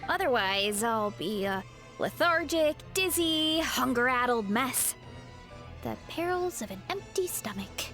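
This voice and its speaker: A woman's voice: sits high, animated, measured pace, fairly bright tone. An actress playing a cheerful, laid-back young woman warrior in a video game, with an easygoing, breezy manner and sudden earnest bursts. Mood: chatty. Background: game music.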